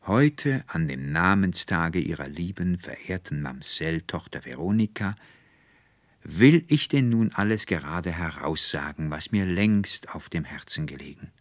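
A man's voice: low-pitched, lively intonation, measured pace, no room sound. A man voicing a solemn, self-important official in a storybook reading, speaking with ceremonious, pompous formality. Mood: solemn, proud.

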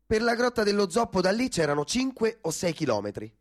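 An actor playing a sardonic working-class Roman storyteller, narrating theatrically with a Roman accent. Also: male voice, high voice, very animated, brisk, exaggerated voice. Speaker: stage actor-narrator in a radio theatre piece.

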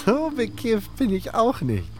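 A man's voice: high voice, huge pitch swings, unhurried, music in the background, pitched above the performer's own voice, full character voice. A man voicing a laid-back, stoned male companion who teases his friend in a drawl in a fantasy audio drama. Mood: amused, stoned.

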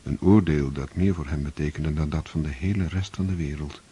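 A male narrator reading scripted narration for a radio play in a measured, solemn way. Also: rumbling low, fairly even intonation, mellow, husky.

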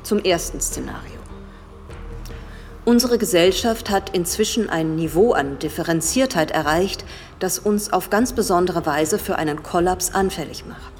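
An actress playing an authoritative female professor, lecturing gravely and formally in a German radio drama. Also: fairly low pitch, moderate pace, a little mellow, soft background music, lightly acted. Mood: grave, measured.

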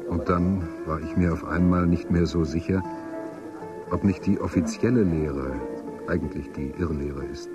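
An actor playing a calm, protective, disillusioned man in a dystopian radio drama, speaking plainly and informally. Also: low voice, moderate pace, lo-fi sound, music in the background, lightly acted. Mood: quiet, reflective. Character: calm, protective male dissident, disillusioned former official. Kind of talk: German radio drama acting.

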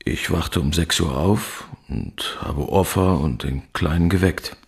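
Reserved dad in his 40s, radio play, deep voice, plain factual delivery. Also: unhurried, creaky, heavily acted. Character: reserved father in his forties, a ship's engineer. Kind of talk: radio drama acting.

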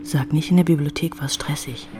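Female actor, low voice, worried loving girlfriend, gentle reproach, intimate radio drama, loud guitar music. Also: fairly even intonation, a little fast, close to an everyday voice. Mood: reproachful.

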